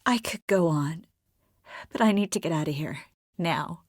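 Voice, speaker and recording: A woman voicing a witty, caring, self-assured young sorceress in a video game, often dropping to soft, intimate murmurs. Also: fairly low pitch, measured pace, dry recording, clean studio sound. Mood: conversational, composed. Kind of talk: scripted game character dialogue. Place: close studio recording.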